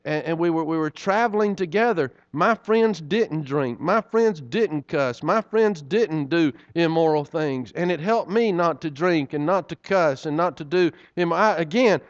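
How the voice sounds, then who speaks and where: Preacher guy telling stories, folksy, keeps saying right?, highish voice, dry slightly muffled sound. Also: a little slow, hardly any pauses, no room sound. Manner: folksy, conversational, frequent "right?" asides. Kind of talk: sermon storytelling.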